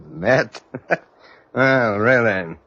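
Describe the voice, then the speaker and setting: An actor playing an imperious colonial dignitary in an old-time radio drama, with a curt, condescending manner. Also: male voice, lowish voice, lively intonation, drawn-out words, a bit bright, full character voice. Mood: haughty, aloof. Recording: dull old radio recording.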